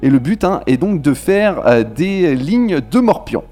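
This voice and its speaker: A man with a cold hosting a radio game, animated and playful, with hesitant fillers. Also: a little high, measured pace. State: has a cold. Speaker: game host. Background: faint music.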